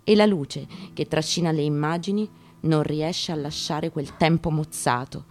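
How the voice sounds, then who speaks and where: A woman's voice: sits low, steady tone, measured pace, darkish tone. A woman, a stage actress, giving a dramatic theatrical reading of a memoir with guitar behind her. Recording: acoustic guitar playing behind the voice.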